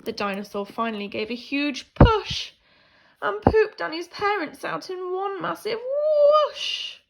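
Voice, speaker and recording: A woman teacher reading a children's picture book aloud in an animated, theatrical way, swinging between hushed and emphatic delivery. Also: very high-pitched, slow, gravelly, slight background noise, poor audio. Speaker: primary school teacher reading a story.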